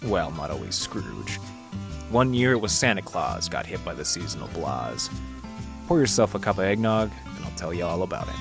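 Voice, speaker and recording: An actor playing a world-weary, hard-boiled library detective, narrating his case in a wry, deadpan, mock-tough way. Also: low voice, moderate pace, creaky, full character voice. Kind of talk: noir radio detective narration. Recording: narration over loud guitar music. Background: guitar music.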